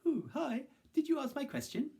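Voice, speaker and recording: A man voicing a thin, leafless talking tree in a folktale, squeaky and chirpy. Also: big pitch swings, moderate pace, vocal fry, darkish tone, exaggerated voice.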